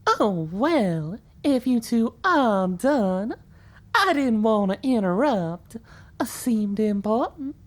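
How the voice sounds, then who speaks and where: A woman playing a laid-back, wryly amused unicorn mare sheriff in an audio drama, speaking in an unhurried way. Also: on the high side, sing-song, full character voice. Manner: unhurried, wry.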